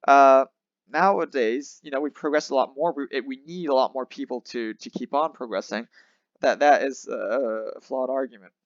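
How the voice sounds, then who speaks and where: A man's voice: medium pitch, moderate pace, dry recording. Man, show host, reaction commentary, casual, thinking aloud, dull dry recording. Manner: casual, thinking aloud, many fillers and restarts.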